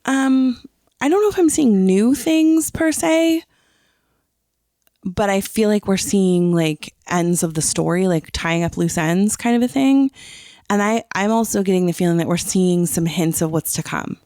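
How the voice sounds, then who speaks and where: Female co-host, casual fan chat. Lowish voice with noticeable fry, filler-heavy conversational delivery, close dry sound. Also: unhurried, warm tone, no room sound. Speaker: podcast co-host.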